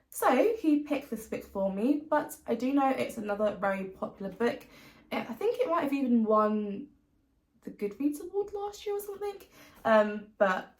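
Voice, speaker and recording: A British woman talks informally about books, as a vlogger would to her viewers. Her voice sits at a medium pitch with a noticeable creak. She rambles a little in a loose, conversational style. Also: poor audio. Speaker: book vlogger. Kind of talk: casual chat to camera about books. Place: room facing a busy street.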